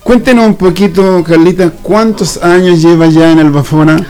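A man's voice: high-pitched, fairly even intonation, normal speed, a little mellow. A man with a Chilean accent hosting a casual interview in a folksy, familiar, colloquial way. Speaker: radio host.

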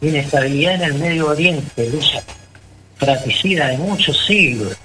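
A man's voice: fairly high pitch, moderate pace, pushed and bright, low-quality recording. A man with a Chilean accent co-hosting a radio talk show, giving long opinionated commentary with many restarts. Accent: Chilean Spanish. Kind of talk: casual political talk on radio.

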